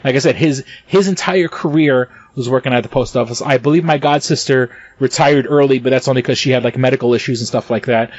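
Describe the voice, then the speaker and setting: A man's voice: mid-range voice, quick pace, few pauses, no echo, highs cut off. A man co-hosting a casual podcast, talking in a heated, profane way with stumbling restarts and bursts of laughter. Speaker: podcast co-host.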